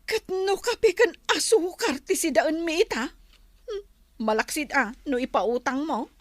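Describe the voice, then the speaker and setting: An actress with an Ilocano accent playing a boastful, stingy older sister, a fussy schoolteacher who exclaims a lot, in a comedy audio drama. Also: female voice, high-pitched, lively intonation, a little slow, no room sound. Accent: Ilocano, Philippines.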